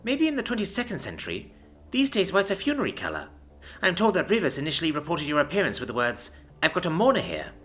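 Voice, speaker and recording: A man's voice: high-pitched, wildly swooping pitch, racing, few pauses, a bit bright, voice pushed up, heavily acted. A man putting on a furious young woman commander. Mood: mocking. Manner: sneering, seething and profane.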